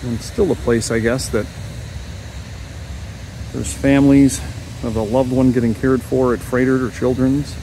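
A man's voice: mid-range voice, little pitch movement, a little slow, long pauses, dark tone, slight background noise, low-quality recording. Musing man on an outdoor walking tour. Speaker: vlog host, walking tour guide. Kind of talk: casual walking tour narration. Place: outdoors, walking a wooded path at dusk. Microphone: handheld camera held by the speaker.

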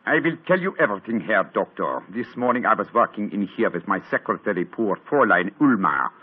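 An actor playing a suave detective disguised as a German count, speaking with a put-on German accent. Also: male voice, fairly low pitch, big pitch swings, a little fast. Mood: courtly. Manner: crisp, poised, feigned German accent in disguise. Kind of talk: 1940s radio acting. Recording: tinny old radio broadcast.

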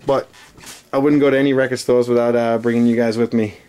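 A man casually narrating a handheld walking tour of a big record store, talking excitedly to his viewers. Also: mid-range voice, quick pace. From New York. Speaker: vlogger touring record stores. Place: large indoor record store. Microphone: handheld camera mic. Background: store music playing.

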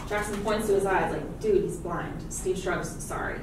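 A woman's voice: mid-range voice, normal speed. A woman reading scripted stage directions as narration at a table read. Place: meeting room around a long table, indoors. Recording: noisy echoey room, distant mic.

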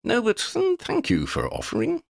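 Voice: mid-range voice, wildly swooping pitch, normal speed, gravelly, no room sound, studio quality, pitched above the performer's own voice. A man voicing a gangly, muddle-headed wandering vagabond in a storybook, with quaint old-fashioned phrasing and a rambling, easily distracted manner. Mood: puzzled.

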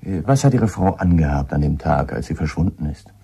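A shrewd police inspector in a radio drama. His voice is low and rough, and he presses insistently with probing, relentless speech. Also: lively intonation, brisk, mellow, full character voice. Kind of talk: German radio drama acting.

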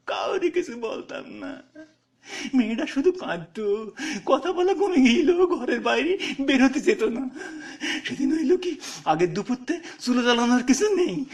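A man voicing a poor, grieving village mother in a tearful, halting storytelling performance with a rural Bengali accent. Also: very high-pitched, pitched above the performer's own voice, full character voice. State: crying.

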